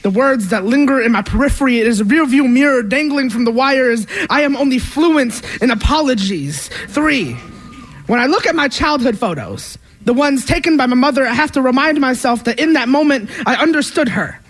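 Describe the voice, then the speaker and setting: High-voiced young man performing poetry. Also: animated, a bit bright, low-quality recording. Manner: measured, rhythmic, emphatic delivery. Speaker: spoken word poet. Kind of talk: spoken word poetry performance.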